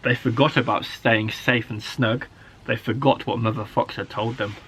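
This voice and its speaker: A man, a primary school teacher, reading a children's story aloud in an expressive, dramatic way. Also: mid-range voice, moderate pace, slight background noise, low-quality recording. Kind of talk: animated children's story narration.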